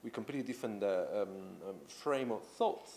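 A man's voice: on the low side, brisk, mellow, slight background noise. A man in his thirties interviewing a guest, asking long, winding questions full of "you know". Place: indoor room with tapestries and a sofa.

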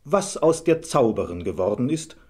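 A man with a husky voice narrating a scripted fairy tale as a storyteller. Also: mid-range voice, very animated, moderate pace, warm tone.

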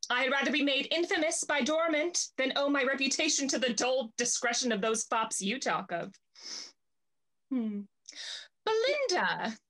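A woman's voice: high-pitched, lively intonation, moderate pace, a bit bright. A young woman playing a jealous, imperious jilted lady in a Restoration comedy, melodramatic and haughty. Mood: wounded, haughty. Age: young adult. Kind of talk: theatrical period stage acting over video call. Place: indoor room at home, on video call.

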